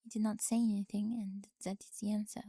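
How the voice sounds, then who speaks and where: A woman's voice: mid-range voice, flat delivery, a little fast, warm tone, husky, no room sound. A woman playing a forthright, dryly witty young French exchange student in an ASMR audio drama, with a French accent and precise, formal phrasing. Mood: calm, perceptive.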